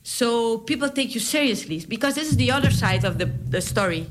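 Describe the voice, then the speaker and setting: A woman's voice: fairly high pitch, expressive, brisk, over music. Surinamese-accented woman, chatty and laughing. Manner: chatty, laughs often, emphatic. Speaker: politician guest. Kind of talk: interview storytelling.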